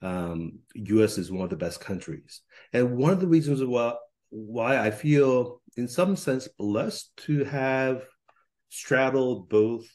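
A man's voice: mid-range voice, slow, a little mellow. A middle-aged man from Korea, a professor speaking as a podcast guest, explaining things thoughtfully with many ums, hedges and restarts. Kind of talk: conversational interview.

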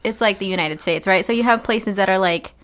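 A woman's voice: mid-range voice, brisk, a bit bright. A woman co-hosting a casual conversation, attentive and wry, asking follow-up questions. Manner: attentive, frequent backchannels, wry. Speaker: podcast co-host. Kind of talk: casual chat.

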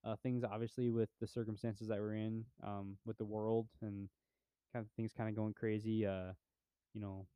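Young man, podcast co-host, mellow low voice, dry close sound, rambling casual chat. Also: little pitch movement, moderate pace, clear, resonant tone, no room sound. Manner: laid-back, rambling with many fillers. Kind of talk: casual movie review chat.

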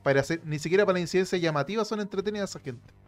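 Man co-hosting a football chat, dry relaxed humour, slightly high voice, faint music behind. Brief. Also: very fast. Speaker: podcast co-host. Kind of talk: casual football podcast chat.

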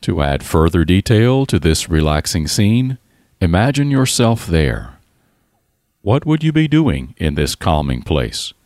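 A man narrating a scripted guided relaxation in a calm, soothing, deliberate manner with pauses. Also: low voice, no echo, studio quality.

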